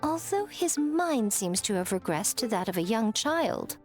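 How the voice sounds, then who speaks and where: A sly fox-spirit shrine priestess in a video game, arch and teasing. Her pitch dances up and down in lively swoops. A faint soundtrack hums behind her. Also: unhurried, soft background music. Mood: calm.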